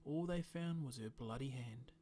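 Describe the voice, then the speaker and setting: A man's voice: medium pitch, moderate pace, mellow, close to an everyday voice. A man voicing a friendly, easygoing male bartender who chats and jokes with customers. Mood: chatty. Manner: friendly and chatty, with a joking tone.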